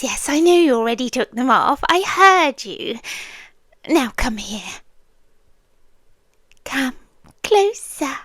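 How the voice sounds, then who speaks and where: A woman's voice: high voice, fairly bright tone, no echo, full character voice. Woman doing a british yandere girlfriend asmr, super close dry mic, lilting swoopy voice, doting but creepy possessive, whispery bits. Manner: cooing, breathy asides that drop into whispers. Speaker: ASMR roleplay performer. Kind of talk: ASMR roleplay, slow intimate acting. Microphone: close, dry microphone.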